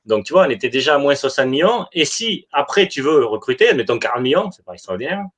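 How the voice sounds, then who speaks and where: This is a man taking part in a casual fan debate about football. He speaks hesitantly and in a halting way, circling back to repeat his words. His voice sits at an ordinary male pitch. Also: very animated. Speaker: football supporter in a live online fan debate.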